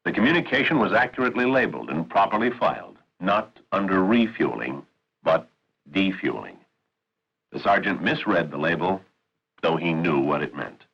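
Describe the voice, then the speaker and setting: A man giving formal, authoritative, measured narration for a 1960s military training film. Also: low-pitched, animated, slightly rough. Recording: muffled 1960s film soundtrack.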